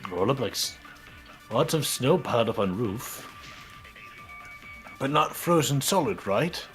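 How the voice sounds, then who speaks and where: A man's voice: medium pitch, expressive, measured pace, fairly bright tone, soft background music. A man bantering and reading lines with friends in a puzzled, questioning way. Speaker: fan dub voice performer. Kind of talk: casual banter and line reading.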